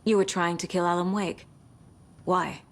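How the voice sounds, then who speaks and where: A woman's voice: on the low side, flat delivery, normal speed, close to an everyday voice. A woman playing a determined, serious federal agent and worried mother in a video game, with an earnest, controlled delivery. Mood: firm. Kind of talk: video game cutscene acting. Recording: light ambient background noise.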